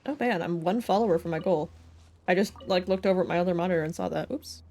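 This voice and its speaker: A woman's voice: on the low side, quick pace, darkish tone. A woman livestreamer chatting casually while gaming, thinking aloud in a playful, rambling way with silly slang. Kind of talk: casual gaming stream chat. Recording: game music behind the voice. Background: game music.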